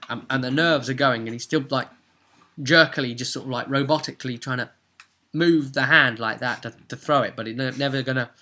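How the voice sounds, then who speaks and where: A man's voice: medium pitch, steady tone, a little fast, sharp tone. A man chatting casually as a game master, retelling a role-playing session like a story.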